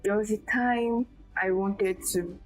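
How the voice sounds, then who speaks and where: A young woman speaks in a small, quiet voice as a guest tutor in a casual interview. She talks haltingly, often restarting her sentences. Faint music plays underneath. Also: mid-range voice, steady tone, no room sound. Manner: soft-spoken, halting with restarts. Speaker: guest, tech skills tutor.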